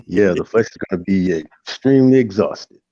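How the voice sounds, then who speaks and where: Chill guy co-hosting, really deep voice, kinda muffled dull sound. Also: a little fast, mellow, no echo. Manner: laid-back, agreeable, short replies. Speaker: co-host. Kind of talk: casual livestream chat.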